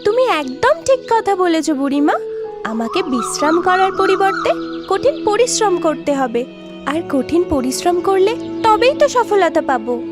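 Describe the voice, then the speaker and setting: Young village toy seller, very high voice, animated intonation, earnest, determined, cartoon. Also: female voice, unhurried, over music, full character voice. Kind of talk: cartoon story acting.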